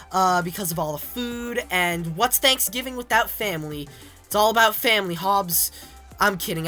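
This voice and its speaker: A young woman gaming creator, hoarse and raspy from being sick, chatting casually over her gameplay with frequent ums. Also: medium pitch, pushed and bright. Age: young adult. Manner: chatty, many ums and restarts. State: hoarse from illness. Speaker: gaming YouTuber. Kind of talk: casual game commentary.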